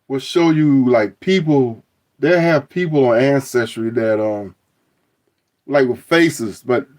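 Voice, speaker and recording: A middle-aged man talking through his DNA results on a phone, rambling and joking with frequent restarts. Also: medium pitch. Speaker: vlogger. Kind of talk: casual review of DNA results.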